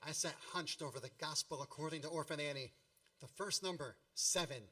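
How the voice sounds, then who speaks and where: Wry, bright-voiced storyteller. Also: male voice, fairly high pitch, fairly quick, recorded from a distance. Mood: suspenseful. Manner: wry, melodramatic. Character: wry grown man narrating his boyhood memories with mock grandeur. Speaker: narrator. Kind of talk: storytelling radio play narration.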